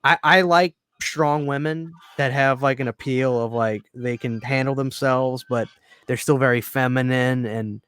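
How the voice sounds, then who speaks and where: Excitable swearing man in his thirties. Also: unhurried, clean tone, no room sound. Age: 30s. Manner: excitable, swears a lot, drops to low mutters. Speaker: podcast co-host. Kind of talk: casual chat.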